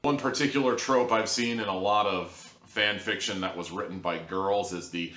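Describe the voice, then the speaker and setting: A low-pitched man with a bright, forceful edge to his voice, reviewing an audio drama in a rambling, sarcastic monologue. His pitch jumps suddenly for emphasis. He sounds like he is recording in an echoey room. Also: normal speed.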